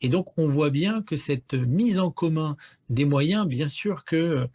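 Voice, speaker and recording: A man's voice: fairly high pitch, animated, slow, a little mellow. A man giving analysis as a guest pollster in a radio interview, explaining things with frequent stammered repeats.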